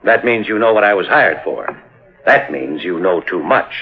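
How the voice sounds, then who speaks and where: A man's voice: deep voice, lively intonation, normal speed, breathy edge, heavily acted. An actor playing a coldly taunting gangster hitman who gives clipped, threatening orders in a 1950s radio crime drama. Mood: smug menace. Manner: clipped commands, coolly threatening. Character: menacing hired killer, coldly taunting tough gangster. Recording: muffled old radio recording, faint music.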